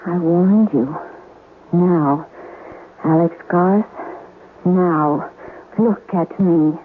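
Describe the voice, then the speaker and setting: An actress playing the ghost of a murdered wife in a radio drama, coquettish and menacing, with theatrical, taunting delivery. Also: female voice, fairly low pitch, very slow, a little hiss or hum. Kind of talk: 1970s radio drama acting. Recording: muffled old radio broadcast, music underneath.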